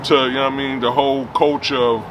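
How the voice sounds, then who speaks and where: A man's voice: mid-range voice, little pitch movement, quick pace, muffled recording. Laid-back man from Trinidad, noisy backdrop. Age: adult with own family. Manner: laid-back storytelling with frequent "you know what I mean". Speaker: guest tattoo artist. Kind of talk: casual chat.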